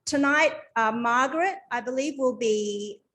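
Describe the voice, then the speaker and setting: A woman's voice: sits high, measured pace, a bit bright. A woman hosting a community meeting informally, somewhat hesitant and loosely organised as she speaks. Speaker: meeting host. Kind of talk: informal introduction of a guest speaker.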